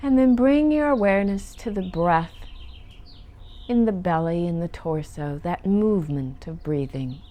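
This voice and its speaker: A woman leading a guided meditation as a teacher, speaking gently and soothingly and lingering on her phrases. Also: on the low side, lively intonation, measured pace, highs cut off.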